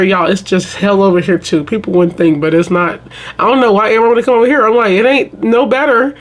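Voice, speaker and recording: Young woman, twenties, from the south, chatty vlog talk, mid-pitched voice, keeps saying y'all. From Southern United States. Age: 20s. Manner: chatty, addresses viewers as y'all, many restarts and fillers. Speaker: vlogger. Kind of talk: casual chat to camera.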